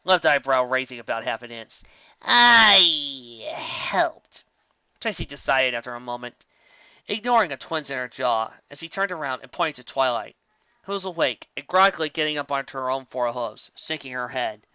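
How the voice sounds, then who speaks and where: Story narrator: bright, forceful mid-pitched voice, scripted read with small stumbles, dry and slightly dull recording. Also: a little fast, clean tone, dry recording.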